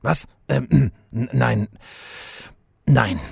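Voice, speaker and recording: An actor playing an awkward, pompous night elf demon warlord with a raspy voice and hesitant, stammering speech, in a comedy audio drama. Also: male voice, medium pitch, extremely slow, darkish tone, dry recording, studio quality, exaggerated voice. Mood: indignant, pompous. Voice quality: very raspy, gravelly, throat-clearing. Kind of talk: audio comedy parody acting.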